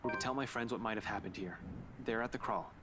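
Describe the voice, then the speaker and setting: An actor playing a calm, serious investigator in a horror visual novel. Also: male voice, fairly low pitch, fast, natural voice. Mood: serious, guarded. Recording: loud music over the voice.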